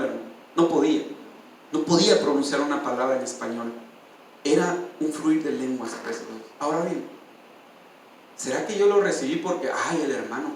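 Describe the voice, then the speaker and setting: A man's voice: a little high, a little hiss or hum. A male pastor preaching a sermon and sharing a personal testimony with feeling, often calling out to his listeners as brother. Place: church. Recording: distant, echoey church hall.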